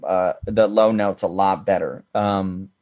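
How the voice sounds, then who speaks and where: A man co-hosting a podcast in casual chat, talking haltingly with a deep voice. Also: steady tone, darkish tone, dull audio. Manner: halting, many fillers and restarts, laughs often. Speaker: podcast co-host.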